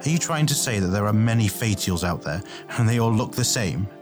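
A man voicing a brash, hot-tempered young male warrior in an audiobook, blunt, quick and excitable. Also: lowish voice, over music, natural voice. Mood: angry. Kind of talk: acted audiobook dialogue.

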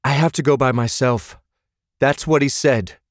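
A man's voice: mid-range voice, normal speed, dry recording, studio quality, pitched above the performer's own voice, close to an everyday voice. Man acting a desperate, strained young man. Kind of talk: audiobook character dialogue.

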